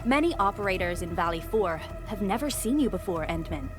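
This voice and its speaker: A woman acting a dutiful female base director in a sci-fi game. She has a mid-pitched voice and talks in a polite, deferential, expressive way. Music plays clearly behind her. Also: fairly quick, lightly acted. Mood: apologetic, explanatory.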